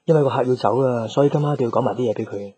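An actor playing a busy, lovelorn young office man in a Cantonese radio drama, with a Hong Kong accent and a husky, breathy voice. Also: mid-range voice, racing, dark tone. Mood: tender, apologetic.

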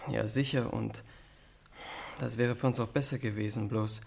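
An actor playing a timid, contrite young male student suspect in a radio drama, speaking haltingly and earnestly. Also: lowish voice, fairly even intonation, gravelly, darkish tone, a little hiss or hum. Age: young adult. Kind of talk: German radio drama acting.

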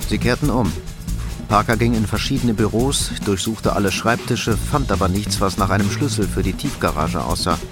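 Low-voiced man narrating a crime drama. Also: moderate pace. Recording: loud music behind the voice.

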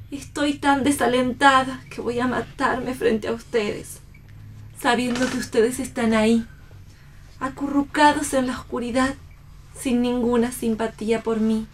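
Radio drama: elegant, disillusioned young woman, high-pitched, melancholy, theatrical. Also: slight background noise. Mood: despairing.

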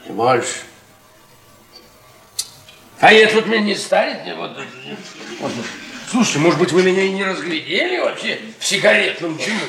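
A man's voice: on the high side, gravelly, a bit bright, echoey room, exaggerated voice, rough recording. An actor playing an embittered, mocking middle-aged history professor in a radio play, needling his listener and theatrically repeating and stretching words. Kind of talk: Russian stage-style radio play acting.

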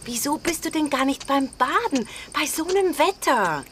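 A woman playing a concerned, firm neighbour in a radio crime drama, speaking in a measured, reasoning way. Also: high voice, animated, fairly bright tone. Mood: soothing, concerned. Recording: noisy outdoor insect ambience.

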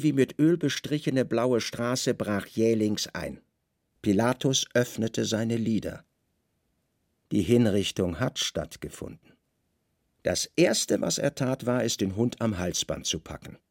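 Guy narrating a literary radio play, husky voice, really dry close sound, lots of pitch movement. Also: animated, slow, darkish tone, dry recording.

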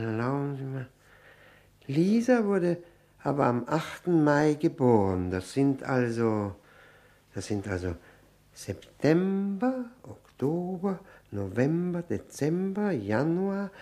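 An actor playing a nervous, self-abasing widower who drinks, stammering and repeating himself in an old radio play. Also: male voice, medium pitch, a little mellow, heavily acted. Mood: calculating, uneasy. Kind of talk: 1960 German radio play acting. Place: radio drama studio.

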